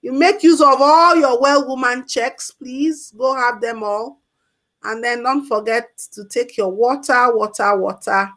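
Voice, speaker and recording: A woman's voice: fairly high pitch, a little slow, a bit bright. A woman on a call-in talk show giving health advice in an emphatic way, repeating her key points. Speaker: health expert guest.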